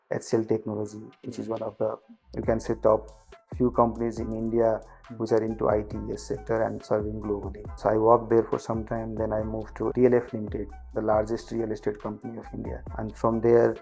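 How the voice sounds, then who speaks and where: A middle-aged man from Nepal, a company finance chief, answering interview questions in a measured way with frequent restarts. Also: fairly low pitch, gravelly, mellow. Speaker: guest, group CFO.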